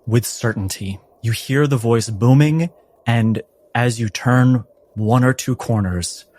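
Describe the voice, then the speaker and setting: A man narrating a tabletop game as game master, with deliberate, dramatic phrasing and atmospheric pauses. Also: medium pitch, darkish tone, no echo.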